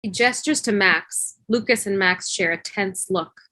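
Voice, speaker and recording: A woman's voice: medium pitch, measured pace, pushed and bright, no echo. A woman reading stage directions as scripted narration for a table read over a video call.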